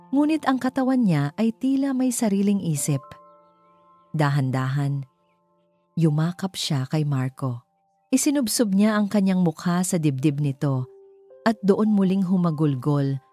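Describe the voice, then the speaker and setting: A woman narrates a dramatic love story in a low-pitched voice. Her tone is soft-edged and mellow, and her delivery is measured and sentimental. Faint music plays behind her. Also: expressive. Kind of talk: scripted dramatic romance narration. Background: background music.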